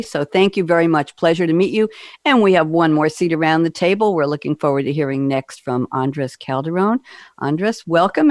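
A woman's voice: deep voice, dry recording, studio quality. Upbeat woman hosting a business podcast. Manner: upbeat, chatty, asks rhetorical questions. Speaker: podcast host.

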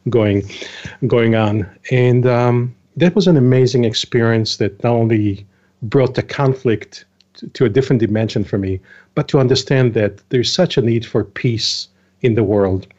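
An older man from Israel, a guest in an interview, telling his story in a relaxed way with fillers and small laughs. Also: medium pitch, moderate pace. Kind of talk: interview conversation. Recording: dull lo-fi audio.

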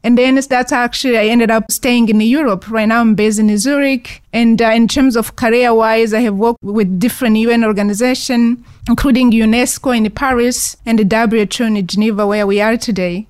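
A woman from Rwanda, middle-aged, answers interview questions in an earnest, reflective way, speeding up in bursts and pausing on fillers. Her voice sits a little high. The sound is close and dry. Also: steady tone, a little mellow, clear, resonant tone, no echo. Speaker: guest, founder of an investment fund.

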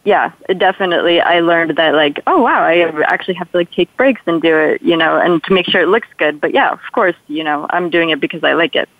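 A woman's voice: lowish voice, brisk, hardly any pauses, no echo. Young woman, slight Polish accent, phone-like line. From Poland. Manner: chatty, rambling, peppered with "like" and "you know". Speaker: interview guest, adult film performer. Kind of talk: casual radio interview chat. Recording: tinny, phone-like radio call-in line.

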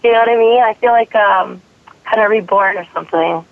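Hoarse woman calling in by phone. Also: mid-range voice, brisk. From South Carolina. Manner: hesitant, lots of likes and ums. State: has a cold, losing her voice. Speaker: caller. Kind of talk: phone-in question. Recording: tinny dry phone line.

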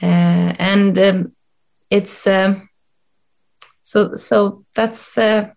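A middle-aged woman from Sweden with a Swedish accent, answering interview questions hesitantly with many pauses and restarts. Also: medium pitch, steady tone, gravelly, darkish tone. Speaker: guest, coach and HR expert.

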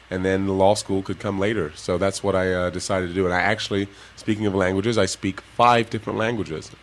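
A man, a former professional basketball player, telling his life story to kids in a relaxed way and laughing often. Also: on the low side, a little hiss or hum. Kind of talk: casual interview talk to kids.

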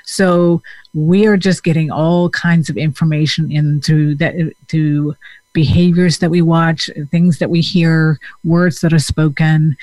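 A man, a therapist talking in a casual, reflective way with many pauses and fillers. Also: a little high, darkish tone, no echo. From Denmark. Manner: thoughtful, hesitant with many fillers.

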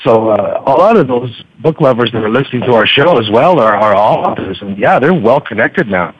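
Male podcast guest, mid-pitched, conversational and a bit halting, on dull radio-style sound. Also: animated, slightly rough, dry recording. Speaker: podcast guest, book reviewer. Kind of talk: casual interview chat.

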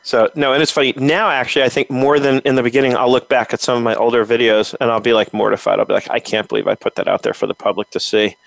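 A man's voice: mid-range voice, steady tone, quick pace, vocal fry, hardly any pauses, a bit bright, dry recording, low-quality recording. A man co-hosting a podcast interview, friendly and conversational, asking follow-up questions. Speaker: podcast co-host.

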